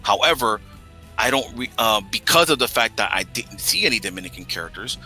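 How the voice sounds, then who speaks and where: A man's voice: lowish voice, expressive, brisk, pushed and bright, faint music behind. A man from the United States talking in casual chat, explaining steadily with stammering restarts.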